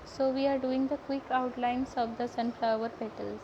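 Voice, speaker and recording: High-voiced young Indian woman, noisy lo-fi audio. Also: fairly even intonation, normal speed. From Odisha, India. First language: Odia. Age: young adult. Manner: hesitant, stumbling, repeats words. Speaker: art live-stream host and painting teacher. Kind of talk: casual live painting tutorial with chat. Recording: dull lo-fi audio with heavy background noise.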